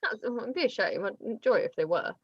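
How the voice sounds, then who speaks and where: A lively woman hosts an online question session and ribs her guest as she goes. Her voice sounds dull and lo-fi over the video call, in a dry, close room with no echo. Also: on the high side, a little fast, breathy edge. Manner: teasing, lively, reads out audience questions. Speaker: interviewer and host. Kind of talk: hosting an online Q&A.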